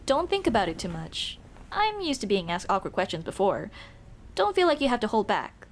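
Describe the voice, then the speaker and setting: A woman playing a young tomboy childhood friend in an ASMR roleplay, speaking in casual boyish slang with hesitant pauses. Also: on the high side, sing-song. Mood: playful, teasing. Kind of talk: ASMR roleplay, intimate one-sided dialogue.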